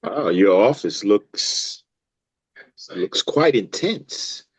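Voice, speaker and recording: A man playing an evasive, defensive doctor with a measured manner in a table read. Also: fairly low pitch, animated, husky, no room sound, exaggerated voice, rough recording. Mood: polite, probing.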